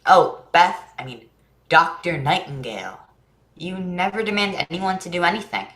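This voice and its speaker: A woman's voice: on the low side, normal speed, a bit bright, reverberant, close to an everyday voice. A woman acting a naive, chatty young boy in a staged play reading, earnest and full of questions. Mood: puzzled. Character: naive, eager, chatty young boy who loves jokes.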